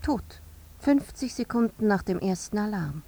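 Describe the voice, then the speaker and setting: An actress playing a level-headed, resigned airline pilot in a radio drama, speaking in matter-of-fact statements. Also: female voice, mid-range voice, expressive, measured pace, mellow. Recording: muffled, dull, faint background noise.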